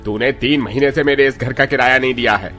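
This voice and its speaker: Harsh landlord for a cartoon tale, male actor: tense, forceful, domineering and scolding, music underneath. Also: medium pitch, fairly quick, natural voice. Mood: angry, threatening. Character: harsh, threatening male landlord demanding overdue rent.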